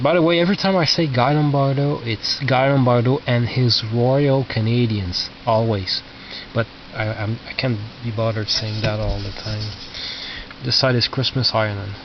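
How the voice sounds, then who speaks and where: A man giving casual, unscripted commentary as a record collector, halting and muttering asides to himself. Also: mid-range voice. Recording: lo-fi, noisy, distant mic with clicks.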